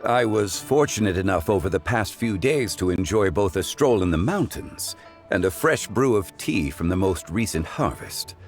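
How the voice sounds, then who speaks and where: An actor playing a calm, ancient male consultant who speaks in a formal, measured and courteous way, in a video game. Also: sits low, faint music behind. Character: ancient, composed male consultant of refined taste.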